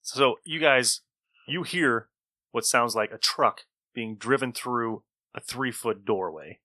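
A man's voice: medium pitch, slow, pushed and bright, dry recording, clean studio sound. A man narrating a tabletop roleplaying game as game master.

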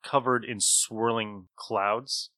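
Bright-voiced man running a tabletop game. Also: on the low side, fairly even intonation, takes it slowly, no room sound. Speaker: game master. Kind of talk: tabletop game narration and banter.

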